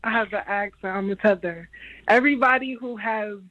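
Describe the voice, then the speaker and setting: A woman's voice: mid-range voice, brisk. A woman calling in to a talk show, arguing back in a defiant way. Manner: defiant, argumentative. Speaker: caller. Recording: dull phone line.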